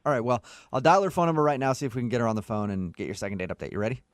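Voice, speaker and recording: Quick, teasing male radio host. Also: mid-range voice, heavy background noise. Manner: wry, teasing. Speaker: radio morning show host. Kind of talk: joking radio banter and recaps. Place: radio studio. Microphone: close studio microphone.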